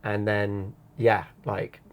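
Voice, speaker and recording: A man who grew up in Singapore, a comedian guest in casual podcast chat, animated and quick to swear and laugh. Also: on the low side, vocal fry. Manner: animated, swears freely, breaks into laughing bits.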